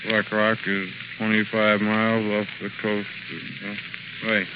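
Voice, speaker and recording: A man playing a guilty watchman mumbling haltingly in his sleep in a 1930s radio drama. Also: on the low side, monotone, natural voice. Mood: drowsy, troubled. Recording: hissy muffled 1930s radio recording.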